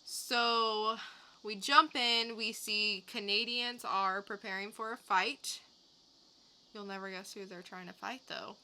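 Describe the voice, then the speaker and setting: A woman's voice: mid-range voice, fairly even intonation, slow, sharp tone, slight background noise, poor audio. A woman co-hosting a podcast, recapping and reviewing in a casual, conversational way. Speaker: podcast co-host reviewing comics.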